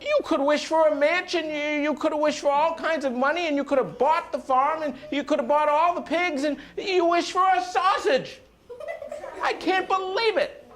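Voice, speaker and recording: A man voicing an exasperated wife, speaking high, shrill and incredulous as she scolds her husband in a spoken story. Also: sing-song, takes it slowly, exaggerated voice. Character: exasperated scolding wife, middle-aged woman.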